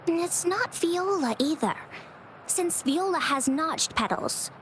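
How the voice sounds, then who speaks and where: A woman's voice: very high voice, expressive, slow pace, fairly bright tone, slight background noise, exaggerated voice. A woman voicing a shy, studious young botanist in a video game, explaining precisely and modestly. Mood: absorbed, informative.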